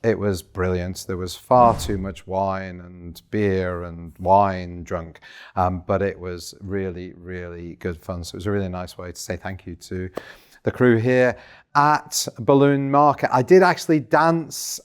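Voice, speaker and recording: Croaky man, low voice. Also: fairly even intonation, a little slow. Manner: chatty and self-deprecating. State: croaky voice after a party. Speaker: shop owner hosting a business update show. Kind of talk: casual business update to camera.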